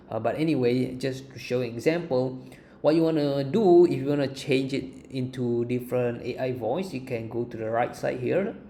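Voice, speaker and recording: Mellow, gravelly male tutorial presenter. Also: mid-range voice. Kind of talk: informal software walkthrough. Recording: faint background noise.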